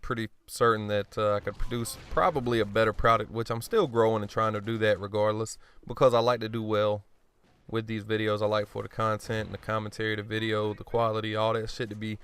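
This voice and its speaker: A man giving casual gameplay commentary, blunt and profane, rambling and joking at himself, often clearing his throat. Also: fairly low pitch, little pitch movement, a little fast, a bit bright. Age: adult. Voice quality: smooth voice. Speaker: gaming commentator.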